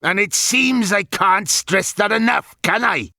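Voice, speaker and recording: A man's voice: high voice, animated, measured pace, creaky, bright, forceful tone, no room sound, studio quality, pitched above the performer's own voice, exaggerated voice. A man voicing a fussy, exasperated old male wizard who rants pompously. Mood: stern.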